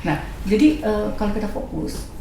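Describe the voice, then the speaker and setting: A woman's voice: medium pitch, moderate pace, a crowd in the background. A middle-aged woman with an Indonesian accent speaking as a guest in a casual discussion, often checking that listeners agree. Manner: animated, checks agreement with rhetorical questions. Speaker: guest speaker, company leader. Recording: very echoey room with faint noise.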